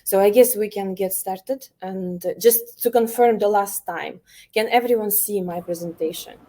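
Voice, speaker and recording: A woman from Ukraine, an elementary teacher, presenting an online workshop over a video call, speaking with many pauses, fillers and restarts. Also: medium pitch, mellow.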